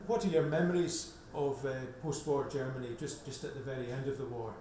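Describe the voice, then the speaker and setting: Brisk interviewer in an echoey room. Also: male voice, medium pitch, mellow, a little hiss or hum. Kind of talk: interview questions.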